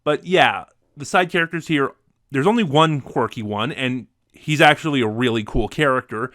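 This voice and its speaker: A man giving a casual review to viewers, somewhat halting as he thinks aloud and drops in asides. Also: medium pitch, a bit bright, no echo, studio quality. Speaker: reviewer ranking favorite audio dramas.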